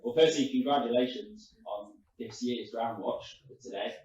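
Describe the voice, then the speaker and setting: Man, offhand, mid-pitched voice, echoey call audio. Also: moderate pace, a little mellow, muffled recording. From England. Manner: offhand, laughing. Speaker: programme manager, alumnus. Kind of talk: casual self-introduction.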